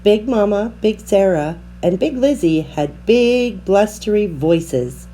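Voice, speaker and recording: A woman reading a children's story aloud with expressive, deliberate narration. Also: mid-range voice, a little hiss or hum. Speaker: storybook reader.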